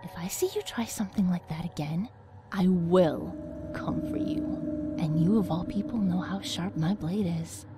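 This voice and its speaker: A woman playing a possessive, coldly threatening young swordswoman in an anime parody dub, controlled and often hushed. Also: lowish voice, moderate pace, loud music behind the voice, natural voice. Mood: cold menace.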